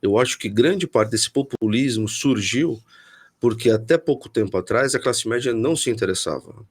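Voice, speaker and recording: A man's voice: mid-range voice, lively intonation, slightly rough. A man from São Paulo city with a Paulistano Brazilian Portuguese accent, a guest in a political interview, thinking aloud and often restarting sentences. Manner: thoughtful, restarts often, pauses to find words.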